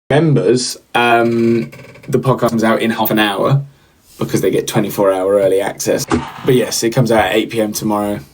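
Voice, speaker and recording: A man's voice: lowish voice, slightly rough, poor audio. A young man, a podcast host and singer, rambling casually on a livestream with lots of fillers and restarts while he reads the chat.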